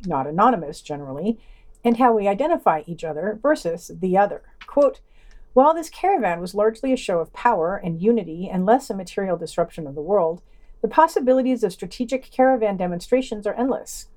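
A woman's voice: mid-range voice, animated, brisk, a little mellow. A woman reads a scripted news digest as a podcast co-host, adding dry, sardonic asides.

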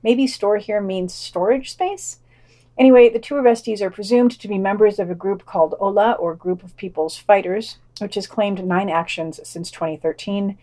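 Female podcast news reader, medium pitch, scripted delivery with wry, dry commentary. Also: normal speed, mellow. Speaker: podcast host reading news.

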